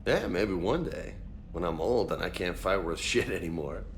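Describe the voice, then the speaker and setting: A man's voice: deep voice, animated, normal speed, slight background noise. A man playing an informal, self-doubting space marine in a video game, talking in a casual, slangy, blunt way. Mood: casual, joking. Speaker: soldier. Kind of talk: video game dialogue acting.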